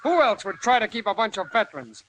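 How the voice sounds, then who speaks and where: An actor playing a bitter, defiant war veteran turned farmer in 1940s radio drama style, blunt, combative and folksy. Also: male voice, high voice, sing-song, quick pace, bright, forceful tone, full character voice. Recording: noisy old-time radio recording.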